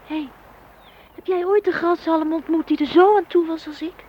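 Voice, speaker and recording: A woman's voice: extremely high pitch, steady tone, normal speed. A woman playing a forlorn, plaintive blade of grass in a poetic radio play. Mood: pleading. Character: forlorn blade of grass, alone in a mown field. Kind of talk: Dutch radio play acting. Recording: dull, faintly noisy old radio recording.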